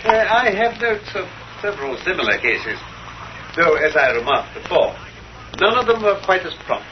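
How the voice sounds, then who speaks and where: An actor playing a confident, witty English gentleman detective in a 1930s radio drama, incisive and dry. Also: sits high, big pitch swings, normal speed. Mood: pleased, lively. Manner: incisive, dry, self-assured. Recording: muffled, hissy 1930s radio recording.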